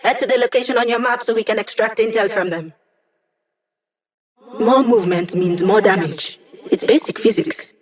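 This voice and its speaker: A woman's voice: mid-range voice, normal speed, long pauses, close to an everyday voice. A woman voice actor playing an upbeat, wisecracking young woman hacker in a video game, casual, playful and teasing. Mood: focused, informative, then casual, helpful.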